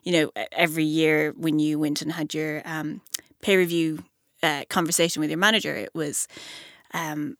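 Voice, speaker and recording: A woman, hosting a podcast in a relaxed, chatty way. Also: low-pitched, moderate pace, dry recording, clean studio sound. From Ireland. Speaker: podcast host. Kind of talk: podcast conversation.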